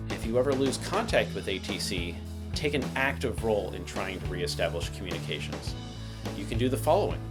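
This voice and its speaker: A man giving scripted instructional narration as the host of an explainer video, in a methodical, explanatory manner. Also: fairly low pitch. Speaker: host of an aviation explainer channel.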